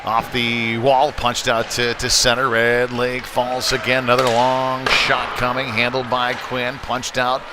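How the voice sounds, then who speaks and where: A man doing live hockey play-by-play in halting, off-the-cuff phrases, as the announcer on a game stream. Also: medium pitch, completely flat delivery. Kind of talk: live sports commentary. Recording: noisy live rink stream.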